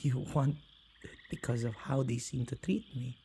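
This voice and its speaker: A man playing an earnest, tender male witch who protects ghosts, speaking softly and intimately in an ASMR roleplay. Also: medium pitch, normal speed, slight background noise, lightly acted. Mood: tender, calm. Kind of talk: ASMR boyfriend roleplay acting.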